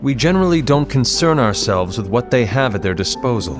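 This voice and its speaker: An actor playing a grave, suspicious leader of a fantasy order in a game, speaking solemnly and formally with deliberate phrasing. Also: male voice, medium pitch, big pitch swings, over music, close to an everyday voice. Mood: stern. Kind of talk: scripted game cinematic acting.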